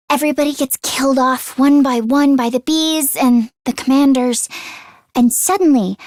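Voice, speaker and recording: A woman voicing an earnest, anxious teenage girl mechanic in an anime-style video game, expressive and shifting between timid and sassy. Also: high-pitched, dry recording, studio quality. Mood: anxious, confiding. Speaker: voice actress.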